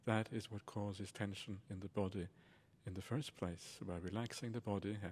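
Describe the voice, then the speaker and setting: A man leading a guided meditation as a teacher, speaking in a soothing, unhurried and reassuring manner. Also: lowish voice, fairly even intonation, a little mellow, husky. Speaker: Buddhist monk and meditation teacher.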